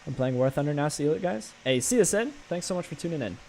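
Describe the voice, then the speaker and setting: A young Canadian man talks to his live chat while he plays, his pitch a touch high and swinging widely. He restarts his sentences often and reacts with excitement, speaking into a desk mic at home. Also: fast, a little hiss or hum. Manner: animated, stammering, talks to chat. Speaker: streamer. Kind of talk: live gameplay stream chat.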